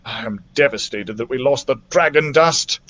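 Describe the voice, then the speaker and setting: A man voicing an earnest, practical doctor in a children's storybook, speaking in a measured, slightly worried way. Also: medium pitch, sharp tone, lightly acted. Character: earnest adult male doctor, worried but practical adventurer.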